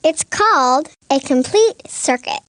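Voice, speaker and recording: A cartoon science expert with a notably high voice and a deadpan delivery. The explanations come out dry and instructive, in a close, dry recording. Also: measured pace, no echo. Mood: matter-of-fact. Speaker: expert witness. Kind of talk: animated comedy dialogue, explaining science.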